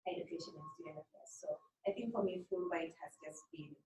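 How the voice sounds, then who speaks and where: A woman's voice: on the low side, fairly quick, dark tone. A woman from Kenya, a Swahili speaker and doctoral student, speaking earnestly and reflectively with many fillers. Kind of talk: panel self-introduction. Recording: distant mic in echoey room, faint noise.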